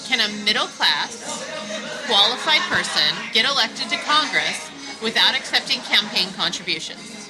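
A woman's voice: a little high, big pitch swings, normal speed, poor audio. A middle-aged woman, talking as a congressional candidate in a casual, wry, joking interview. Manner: dry, wry humor. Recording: music in background.

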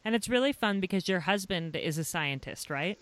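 A woman's voice: on the low side, animated, moderate pace, a bit bright, no echo. A woman in her thirties hosting a podcast for moms, earnest and upbeat, reading a scripted intro and then interviewing. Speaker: podcast host.

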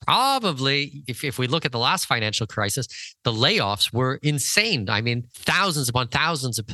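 A man's voice: medium pitch, big pitch swings, normal speed, pushed and bright, no room sound. A man hosting a conversational interview, talking in an affirming, enthusiastic manner. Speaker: podcast host. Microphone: close mic on an internet call.

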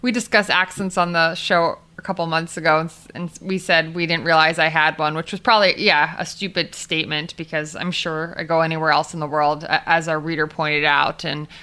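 A woman with a lowish voice that still sounds bright and forceful. She co-hosts a casual sports podcast, chatting and thinking out loud with easy fillers. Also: fairly even intonation, a little fast, hardly any pauses. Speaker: podcast co-host.

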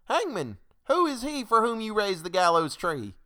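A man's voice: high-pitched, wildly swooping pitch, moderate pace, pushed and bright, pitched above the performer's own voice, heavily acted. A man voicing a frightened, indignant townsman in a dramatic poem, crying out in pleading accusation. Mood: fearful. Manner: raised, pleading, accusing cries. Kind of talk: dramatic poetry recitation.

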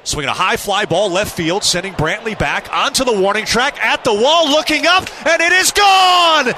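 Baseball announcer guy doing live play by play, super high pitched, noisy ballpark behind him. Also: expressive, normal speed. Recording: noisy live stadium broadcast clip. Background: stadium noise and ambience.

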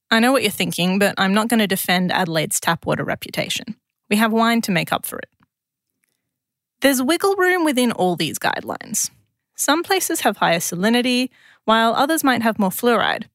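A woman's voice: fairly high pitch, a bit bright, no echo, clean studio sound. A woman with an Australian accent presents a scripted science explainer in a conversational, lightly wry way.

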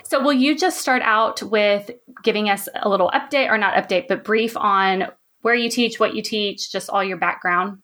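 A woman's voice: medium pitch, moderate pace, hardly any pauses, fairly bright tone. A woman, hosting a podcast in an upbeat, chatty way. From Texas. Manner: upbeat, chatty, says y'all. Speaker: podcast host. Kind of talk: podcast intro and interview.

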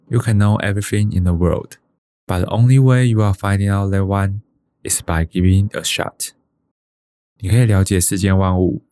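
A man's voice: deep voice, mellow, dry recording. An actor with a Taiwanese Mandarin accent playing an earnest, determined man in his thirties in a radio drama. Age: 30s. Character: earnest, determined thirty-two-year-old son chasing a dream. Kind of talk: radio drama monologue and dialogue.

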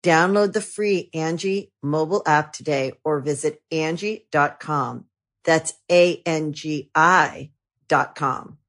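A woman reads a sponsor spot in a lower-pitched voice. She keeps it upbeat and chatty, as if recommending something to a friend. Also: slow, a bit bright. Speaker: ad reader. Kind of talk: read ad with personal touch.

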